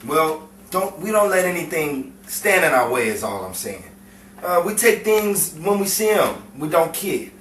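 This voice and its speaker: A man playing a scheming, pushy small-time crook in a 1950s crime melodrama, talking in halting, insistent persuasion. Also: a little high, very animated, a bit bright. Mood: coaxing. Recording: echoey, distant, noisy room recording.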